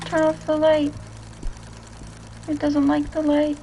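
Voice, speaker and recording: A woman voicing a troubled, withdrawn little girl in a video game, speaking in terse, halting phrases. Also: high-pitched, monotone, warm tone, a little hiss or hum, rough recording. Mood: eerie, withdrawn.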